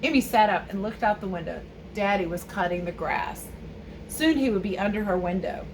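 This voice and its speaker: A woman's voice: medium pitch, moderate pace, background noise. A woman reading and explaining to young viewers as a children's read-aloud teacher and host.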